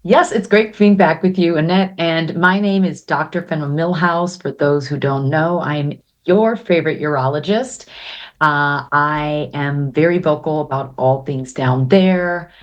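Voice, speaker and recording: A woman doctor explains as a guest in a very low, steady voice. She is confident and direct, and often pauses to check that the listener follows. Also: dull audio. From United States. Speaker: urologist guest. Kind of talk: expert explanation in interview.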